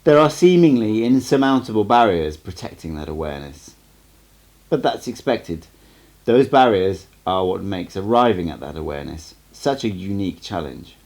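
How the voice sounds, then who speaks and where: A man voicing a stern, cryptic old sorcerer teacher in an audiobook, lecturing in a measured, emphatic way. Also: low-pitched, very animated, darkish tone. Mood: stern, patient. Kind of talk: amateur audiobook character reading.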